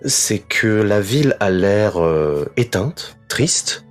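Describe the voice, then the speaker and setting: A man narrates a tabletop role-playing game as game master, his voice sitting on the low side. He describes scenes in a measured way, stopping now and then on a filler. Faint music plays behind him. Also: lively intonation.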